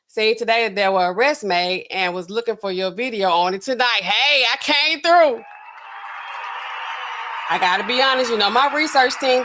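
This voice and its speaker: A woman, hosting a casual livestream and chatting through viewer comments in a sassy, animated way. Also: medium pitch. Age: 40s. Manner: chatty, sassy, animated swings between loud and hushed. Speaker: livestream host.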